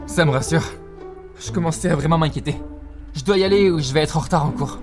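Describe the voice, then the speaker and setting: Man voicing a gentle, high-pitched anime schoolboy. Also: fast talker, music in the background, natural voice. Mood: concerned, apologetic. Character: kind, apologetic teenage schoolboy, the crush. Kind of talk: French fan dub of anime web series.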